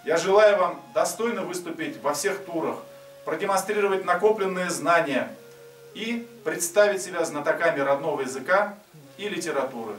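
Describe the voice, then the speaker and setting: Middle-aged man, measured official greeting. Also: sits high, a little hiss or hum. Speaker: institute director. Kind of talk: formal recorded greeting. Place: indoor office. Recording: roomy and distant, soft music under.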